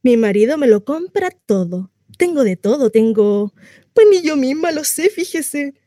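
Woman acting a chatty, naive housewife, mellow and close. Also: high voice, big pitch swings, a little slow, dry recording, voice pushed up. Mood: chatty, boastful. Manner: gossipy, rambling, confiding, coyly hesitant. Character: chatty lonely housewife, naive, insistently cheerful about her confinement. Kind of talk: theatrical monologue read for radio.

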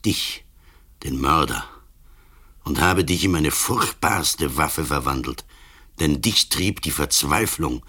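Very deep, slow, implacable old commissioner. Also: male voice, lively intonation, sharp tone, husky, exaggerated voice. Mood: accusing, relentless. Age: older. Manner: slow, grave, accusing, relentless. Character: old ailing police commissioner, implacable, judging. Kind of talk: radio play acting.

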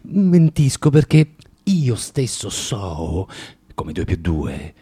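An actor playing a bitter, tormented man of forty in a theatrical radio monologue, halting and repeating himself as he swings between muttering and outbursts. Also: on the low side, big pitch swings, mellow, breathy edge, heavily acted. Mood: envious, agitated. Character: bitter, tormented forty-year-old underground man, spiteful and self-contradicting.

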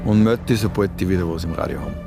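A man with an Austrian accent playing a gruff, bossy character who orders his companions about in a radio drama. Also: deep voice, very fast, music in the background. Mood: terse, tense.

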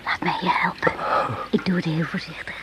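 A woman's voice: fairly low pitch, little pitch movement, brisk, in a whisper, lightly acted. An actress playing an anguished, tender sister caring for her wounded brother in a Dutch radio drama. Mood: gentle, caring. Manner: emotional, reproachful, pleading.

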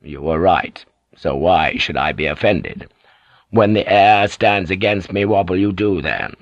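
A man voicing a flat, commanding old warlord. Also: deep voice, unhurried, dry recording, muffled recording, voice pushed down. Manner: flat, curt orders. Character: powerful older male warlord, calm and commanding.

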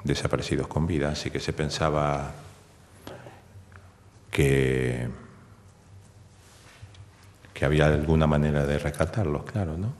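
An older man with an Argentine accent giving halting testimony as he recollects events in an interview. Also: rumbling low, fairly even intonation, a little mellow, slight background noise. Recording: long reverberant room sound.

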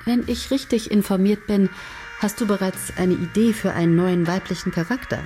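An actress playing a confident head writer who steers her team in a brisk, patronizing and persuasive manner. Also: medium pitch, dark tone, soft background music, natural voice. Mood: bossy. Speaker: head of a soap writing team. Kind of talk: radio play dialogue.

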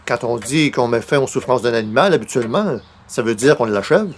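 A man's voice: mid-range voice, expressive, a little fast, slight background noise, natural voice. An actor playing an exasperated male columnist and cat owner in an old-style French radio comedy, dry and polite. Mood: dryly dismissive.

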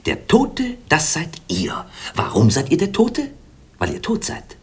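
A man's voice: a little high, huge pitch swings, a little slow, slightly rough, full character voice. A man playing a pedantic comic chancellor in a theatrical radio play, pompous and declamatory in a mock-scholarly way. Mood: pedantic, argumentative. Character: pedantic chancellor, comic male courtier and announcer.

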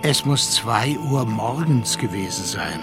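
Narrator for radio play, male actor: reflective, measured, literary delivery, middle register, clear music bed. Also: medium pitch, lively intonation, lightly acted. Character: reflective male storyteller recounting a friend's ordeal. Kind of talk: scripted radio drama narration.

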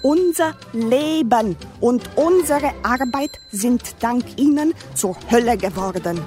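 Earnest lady reading complaint letter, formal n pleading, soft dark tone, bit of music. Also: fairly high pitch, animated, slow. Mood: pleading, aggrieved.

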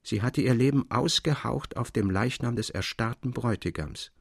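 Male narrator, literary reading, measured, solemn, medium pitch, creaky edge. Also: no echo, studio quality.

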